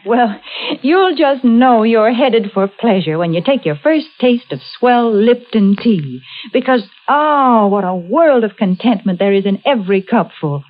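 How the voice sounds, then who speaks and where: Vintage radio ad lady: highish, very animated voice, wholesome cheery pitch, slightly muffled. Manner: bright-spirited, emphatic sales patter. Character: cheerful wholesome female sponsor spokeswoman, playfully prim. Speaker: commercial announcer. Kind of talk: 1940s radio advertising.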